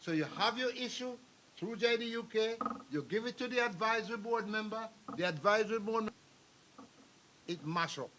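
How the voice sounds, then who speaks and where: A man's voice: high-pitched, moderate pace, sharp tone, slight background noise, rough recording. An older man giving a rambling, anecdotal address to a community meeting, calling on people in the room. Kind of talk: public address to an audience. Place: meeting hall.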